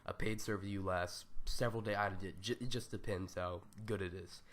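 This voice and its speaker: A young man with a raspy, scratchy, stuffed-up voice, rambling loosely through a casual gaming tutorial with lots of ums and slang. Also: deep voice, fairly even intonation, a little fast, fairly bright tone. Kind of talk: casual screen-recorded tutorial.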